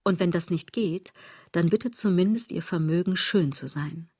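A low-pitched, sardonic woman delivers a literary radio monologue in a close, dry sound. She is deliberate and declamatory, musing straight at the listener and letting phrase ends sink almost to a whisper. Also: darkish tone, slightly rough, no room sound. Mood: wry, reflective. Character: musing, sardonic female speaker addressing the listener directly.

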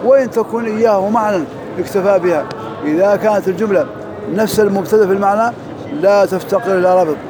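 A man teaching Arabic grammar in a mosque, drilling through examples quickly and reciting verses slowly. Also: high voice, a crowd in the background. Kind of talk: lesson parsing grammar and verse.

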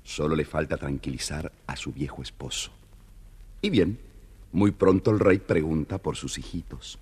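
A man narrating a children's fairy tale from a script in a theatrical, raspy voice. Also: a bass voice, moderate pace, darkish tone. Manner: theatrical storytelling.

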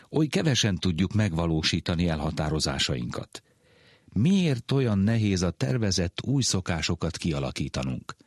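Low-voiced guy hosting radio, very varied intonation, measured scripted delivery. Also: husky, no echo. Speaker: radio presenter. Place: radio studio.

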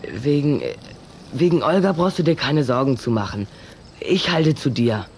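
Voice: mid-range voice, animated, normal speed, darkish tone, a little hiss or hum, close to an everyday voice. An actor playing a timid, loyal schoolboy with a nervous, hesitant manner in a children's audio drama. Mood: hesitant, earnest.

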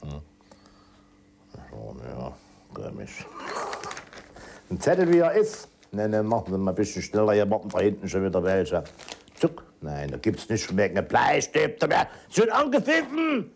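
A man's voice: fairly low pitch, huge pitch swings, unhurried, creaky, pauses often, slight background noise. A man recounting his memories in casual, agitated talk, repeating himself and acting out exchanges. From East Germany. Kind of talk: emotional personal recollection in casual talk.

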